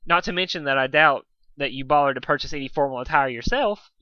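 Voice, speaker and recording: A man's voice: mid-range voice, quick pace, no echo, dull audio, lightly acted. A man voicing an elegant, flirtatious female cartoon unicorn, theatrical, genteel and doting. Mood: insistent, genteel.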